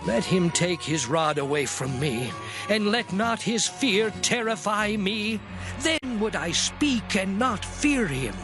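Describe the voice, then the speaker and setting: A man's voice: high voice, big pitch swings, takes it slowly, exaggerated voice. An actor playing a weary, anguished man pleading with God in a solemn, impassioned, theatrical scripture drama over music. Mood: weary lament. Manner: solemn, impassioned, declamatory, archaic phrasing. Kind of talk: dramatized Bible reading, theatrical monologue. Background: music behind the voice.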